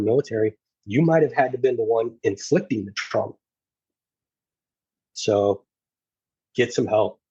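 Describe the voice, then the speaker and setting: A man with a lowish, husky voice speaks in a flat, even line, earnest and halting as he restarts his thoughts. The sound is dry and close but dull and lo-fi, as if the recording is muffled. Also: dry recording. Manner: earnest, halting, many restarts and "you know"s. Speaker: podcast guest, former federal officer and navy corpsman. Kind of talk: casual conversation.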